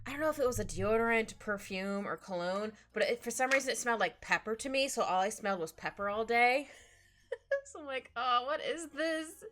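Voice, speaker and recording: Bubbly, high-voiced young-sounding woman streamer. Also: lively intonation, a little fast, fairly bright tone, slight background noise. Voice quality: slightly stuffed-up nose. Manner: bubbly, giggly, rambling with trailing asides. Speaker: art livestream host. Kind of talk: casual livestream chat while drawing. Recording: music behind the voice. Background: background music.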